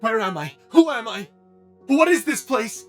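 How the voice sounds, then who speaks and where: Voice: mid-range voice, big pitch swings, a little slow, faint music behind. An actor voicing a newly created, childlike being in an audio drama, timid, frightened and trusting, with a hesitant stammer. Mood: bewildered, frightened.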